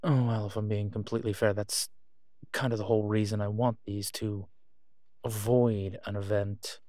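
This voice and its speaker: A man playing an awkward, guarded man in a naturalistic audio roleplay, speaking hesitantly and low-key. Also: flat delivery, normal speed, dark tone, no room sound, clean studio sound, natural voice. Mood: careful, halting. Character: awkward, guarded man discreetly seeking gear to protect someone.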